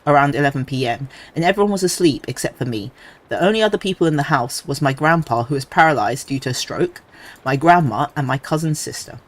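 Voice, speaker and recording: A man with a somewhat high voice reads creepy stories aloud to his stream. He keeps a relaxed, chatty manner and drops casual asides between passages.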